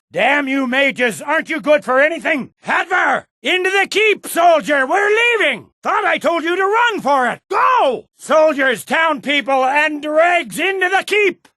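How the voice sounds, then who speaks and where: A man playing a stern, proud, impatient middle-aged Imperial general in a video game, speaking with clipped, deliberate military authority. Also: very high-pitched, big pitch swings, pushed and bright, no room sound, raised voice, clean studio sound, full character voice. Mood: urgent, barking orders. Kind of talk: scripted video game voice acting.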